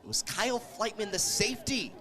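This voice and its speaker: A man doing live play-by-play radio commentary of a football game, calling the action with excited energy. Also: high voice, a little fast, crowd noise. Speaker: play-by-play sports radio announcer.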